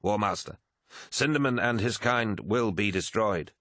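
A man voicing a deferential military adviser in an audiobook, measured, formal and obsequious. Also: lowish voice, pushed and bright, no echo, clean studio sound. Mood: obedient.

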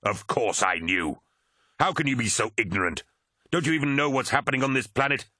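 A man voicing a contemptuous, fanatical military commander in a science fiction audiobook, ranting forcefully and scornfully. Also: medium pitch, animated, fairly quick, dry recording, clean studio sound, voice pushed up. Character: contemptuous male war-machine commander, fanatical traitor.

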